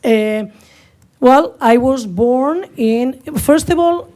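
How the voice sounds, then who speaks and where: A woman's voice: high voice, dark tone. Spanish-accented woman speaking at a podium. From northern Spain. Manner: halting, searching for words, many fillers. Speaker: public commenter, candidate. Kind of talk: public comment at a meeting. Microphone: podium microphone.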